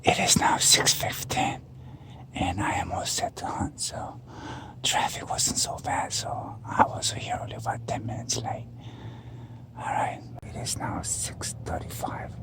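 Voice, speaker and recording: A man with a cold, talking casually to camera in a hunting vlog, with many fillers and restarts. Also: measured pace. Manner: whispers while in the tree stand. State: has a cold, voice very deep; shaky and excited after the shot. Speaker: hunting vlogger.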